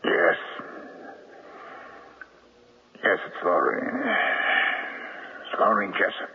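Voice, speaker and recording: A deep-voiced guilty husband. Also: male voice, wildly swooping pitch, creaky, breathy edge, heavily acted. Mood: shaken. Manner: strained, halting, apologetic. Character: guilty middle-aged novelist husband, defensive. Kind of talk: 1970s radio drama acting. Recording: tinny, narrow old radio broadcast with faint hiss.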